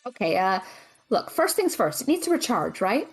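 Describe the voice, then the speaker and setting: A woman's voice: medium pitch, very animated, moderate pace, slightly rough, soft background music. A woman playing a practical, exasperated young female student with a skeptical, dry manner in an audio drama. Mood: practical, thinking.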